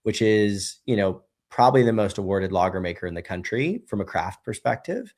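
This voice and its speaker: Low-voiced man telling a rambling story. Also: expressive, normal speed, darkish tone. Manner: rambling, heavy on "you know" and "right?". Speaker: guest, brewery co-founder. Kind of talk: casual conversational storytelling.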